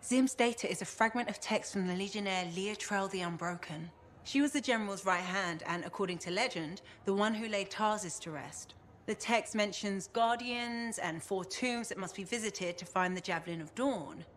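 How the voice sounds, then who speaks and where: A woman playing a composed, serious scholar in a video game, giving measured explanations. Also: mid-range voice, fairly bright tone, a little hiss or hum, lightly acted.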